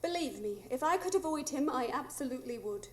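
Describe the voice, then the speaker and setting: A resolute young heroine for live radio drama. She has a very high voice and speaks in an earnest, articulate way. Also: expressive, fairly quick.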